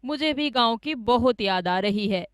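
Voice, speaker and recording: Woman doing a greedy sneaky village guy from a cartoon fable, high pitched, pushy and dramatic, dry sound. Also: lively intonation, unhurried, dry recording. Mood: smooth, persuasive. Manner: insistent, dramatic, accusing. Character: scheming greedy village man, falsely accusing his friend.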